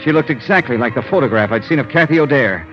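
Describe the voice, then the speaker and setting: An actor playing a hard-boiled investigator who tells his own ordeal in a deliberate, intense 1950s radio drama style. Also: medium pitch, expressive, a bit bright, natural voice. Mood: grim, dramatic. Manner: deliberate, intense, theatrical storytelling. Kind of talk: 1950s radio drama acting, dramatic first-person narration. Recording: dull vintage radio recording with prominent music.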